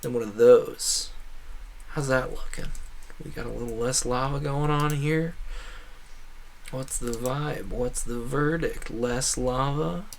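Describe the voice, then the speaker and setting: A man's voice: medium pitch, slow pace, gravelly, a little hiss or hum. Casual, rambling male game streamer. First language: English. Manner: animated, jokey, self-interrupting, muttering asides. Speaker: gaming streamer.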